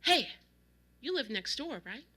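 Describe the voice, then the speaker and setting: Woman as old man, pushy, exasperated, emphatic, high swooping pitch, anecdote character. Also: sing-song, a little slow, full character voice. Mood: pushy, conspiratorial. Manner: shouting, emphatic, blunt. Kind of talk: acted quotes in a spoken anecdote.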